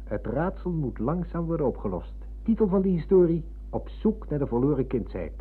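An actor playing a polite, gently teasing middle-aged former pupil in a Dutch radio play, telling things in a measured way. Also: male voice, a little high, sing-song, breathy edge. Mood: teasing, storytelling. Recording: muffled lo-fi old radio recording.

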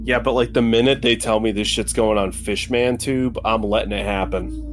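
A man's voice: fairly low pitch, fairly even intonation, normal speed. A man playing a tabletop game, joking casually with friends in slangy, sweary talk. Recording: music playing behind the voice.

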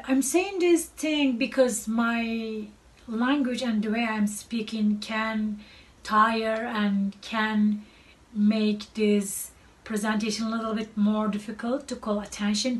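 A middle-aged woman with a Turkish accent, originally from Turkey and a native Turkish speaker, giving a presentation about her work as an artist. She speaks slowly and haltingly and often pauses to search for words. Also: fairly high pitch, steady tone. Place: cluttered art studio. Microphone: far camera. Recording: distant mic, faint room noise.

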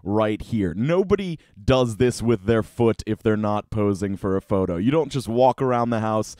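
A man's voice: on the low side, expressive, moderate pace, a little mellow, no room sound, clean studio sound. A young man doing casual, sarcastic comedic commentary as a YouTube host, mocking and swearing freely. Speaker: YouTube host of a Reddit cringe show. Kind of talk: casual comedic commentary, reading posts aloud.

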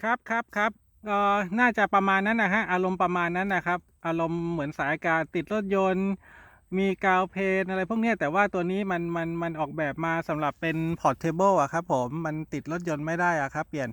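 A man's voice: high-pitched, fairly quick, fairly bright tone, no room sound, clean studio sound. A man in a casual amateur radio exchange, polite and hesitant, repeating his words. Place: outdoors in a garden.

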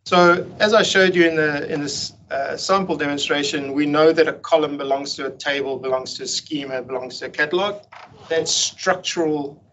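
A man presenting a technical meetup talk over slides on a video call, explaining in a relaxed way with frequent ums and restarts. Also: fairly high pitch, moderate pace. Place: home room on a video call. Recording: dull lo-fi video call audio with faint hiss.

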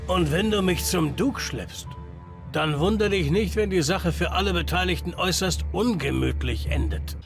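Gravelly, embittered game enforcer. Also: male voice, high-pitched, normal speed. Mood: gruff, determined. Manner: gruff, blunt, cursing. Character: embittered, foul-mouthed betrayed male enforcer, loyal comrade. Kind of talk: video game voice acting. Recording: background music. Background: game music.

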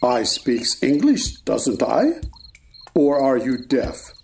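A woman playing a grumpy, slow-witted frog footman in a storybook play, blunt and ungrammatical. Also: extremely low pitch, big pitch swings, vocal fry, a little mellow, slight background noise, exaggerated voice. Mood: sullen, obtuse. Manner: dim, blunt, ungrammatical speech. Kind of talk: amateur dramatized audiobook reading.

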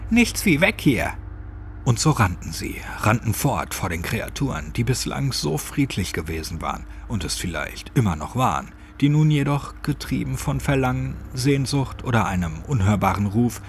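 A man's voice: mid-range voice, expressive, normal speed, hardly any pauses, a little hiss or hum. A man narrating a scripted horror story as an audiobook. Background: music.

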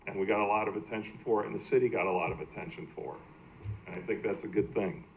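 Male, American, lowish voice, halting plain-spoken presenter at a public meeting, slight background noise. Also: rough recording. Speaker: baseball team executive presenting to a city council. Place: indoor council meeting room.

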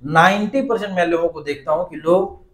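A man's voice: fairly high pitch, a little fast, a bit bright, echoey room. A man in his thirties explaining business steps patiently as a business coach, numbering his points. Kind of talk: explaining step by step, advisory interview. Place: small office with a desk.

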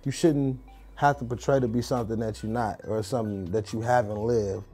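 A man's voice: mid-range voice, fairly even intonation, brisk, warm tone. A young man, a producer and engineer giving blunt opinions in a documentary interview in a recording studio. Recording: music bed underneath.

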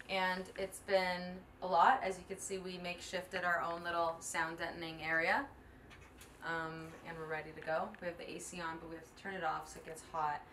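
A woman's voice: on the low side, bright, forceful tone. A young adult woman chatting casually and self-deprecatingly as she directs and shows behind the scenes. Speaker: podcast creator, director and actor. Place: home rooms and makeshift padded studio. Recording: roomy echo, faint background noise.

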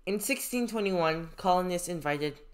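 A young adult man reading a novel aloud like a student, with informal commentary and some halting stumbles. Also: sits high, expressive. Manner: haltingly stumbles over unfamiliar names. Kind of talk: read-aloud with informal note-taking commentary.

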